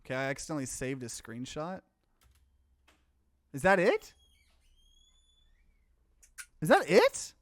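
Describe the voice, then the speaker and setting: A man's voice: fairly high pitch, huge pitch swings, slow pace, pauses often, dry recording. A man doing casual let's play commentary, reading game text aloud and reacting to it as a streamer.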